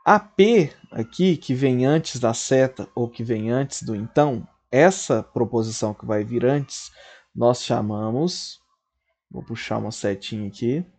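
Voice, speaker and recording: A man's voice: mid-range voice, a little mellow. A man, teaching a logic lesson at a board. He explains step by step and keeps checking in with his students.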